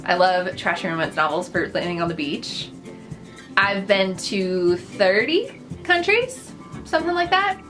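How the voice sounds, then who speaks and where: A woman's voice: medium pitch, animated, unhurried, a bit bright. Chatty young woman over background music. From Netherlands. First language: Dutch. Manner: chatty, upbeat, frequent fillers and asides. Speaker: vlogger. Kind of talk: casual list of personal facts to camera.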